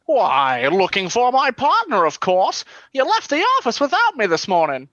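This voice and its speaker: A woman's voice: on the high side, wildly swooping pitch, normal speed, fairly bright tone, no echo, highs cut off. A woman playing a glib, evasive male detective with gambling debts, theatrical and breezy, in a comedic radio play.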